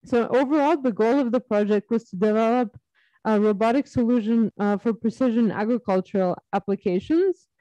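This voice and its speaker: A woman in her thirties from Serbia, giving an academic webinar talk on her research, measured and full of ums and uhs. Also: fairly high pitch, warm tone, no echo. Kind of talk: academic presentation. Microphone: video call. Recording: dull lo-fi video call audio.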